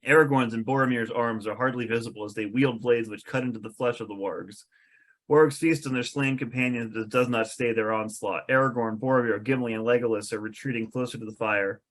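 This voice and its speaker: A man's voice: medium pitch, steady tone, brisk, fairly bright tone. A man reading scripted stage directions as narrator at an amateur comedic table read.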